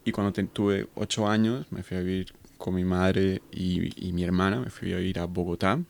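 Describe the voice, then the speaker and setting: A man's voice: low-pitched, level tone, normal speed, gravelly, no echo. A man in his thirties from Colombia, a guest on a radio interview, answering thoughtfully with many hesitations. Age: 30s. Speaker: guest researcher.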